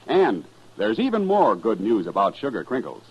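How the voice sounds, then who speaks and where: A man's voice: fairly low pitch, huge pitch swings, normal speed, slightly rough. A man announcing a 1950s radio commercial as a folksy, enthusiastic salesman. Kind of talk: 1950s radio advertising pitch. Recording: dull vintage radio recording.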